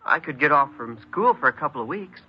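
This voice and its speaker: An actor playing a troubled, neglected fourteen-year-old boy who speaks haltingly, in the style of old radio crime drama. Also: mid-range voice, animated, husky. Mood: tearful longing. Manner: hesitant, halting. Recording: muffled, noisy old radio recording.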